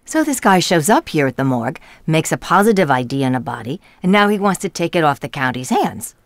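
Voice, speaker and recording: An actress playing a businesslike, easily exasperated coroner investigator in a radio mystery, with a matter-of-fact delivery. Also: female voice, deep voice, big pitch swings, fairly quick, no room sound.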